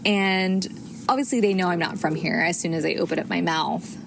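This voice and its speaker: A woman's voice: lowish voice, steady tone, a little fast, creaky. A woman from the United States in her thirties, hosting a casual solo podcast, chatty with many fillers and restarts. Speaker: podcast host. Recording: audible background noise.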